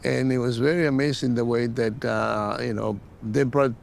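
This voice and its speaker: Older Mexican-born man, creaky voice, reminiscing. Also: steady tone, normal speed. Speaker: interview guest, former soccer player. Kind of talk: casual reminiscence. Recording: faint rumbling background noise.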